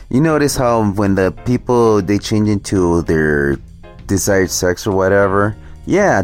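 Deep-voiced ranting man vlogger. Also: darkish tone. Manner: ranting, scornful, repetitive fillers. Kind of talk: casual chat.

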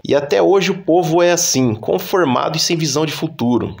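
A man's voice: on the high side, fairly quick, gravelly, soft background music. A man with a Brazilian Portuguese accent giving opinionated, critical commentary on a video he reacts to.

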